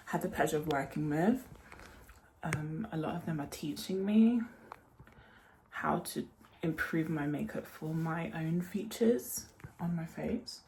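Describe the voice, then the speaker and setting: A woman's voice: deep voice, mellow. A young woman with a Birmingham accent and a slightly nasal voice, chatting casually to camera as a vlogger getting ready. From Birmingham, England. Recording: clearly noisy room background.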